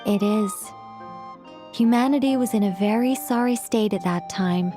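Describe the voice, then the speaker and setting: A woman's voice: medium pitch, moderate pace, warm tone, faint music behind, natural voice. An actress playing an eccentric, absent-minded female mage in a video game, explaining at length in a scholarly, wordy manner. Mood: solemn narrating. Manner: long-winded explaining, flustered when interrupted.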